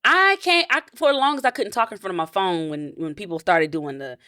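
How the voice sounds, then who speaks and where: A deep-voiced woman, speaking in a casual interview. She sounds animated and reflective, often restarting her sentences. The recording is dry and close, with no room sound. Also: brisk, no room sound. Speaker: interview guest.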